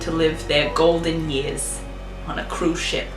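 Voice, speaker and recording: A woman's voice: low-pitched, level tone, measured pace. A woman telling an improvised spooky tale in a playful, chatty way, laughing at herself. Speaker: storyteller. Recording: roomy, off-mic, music behind.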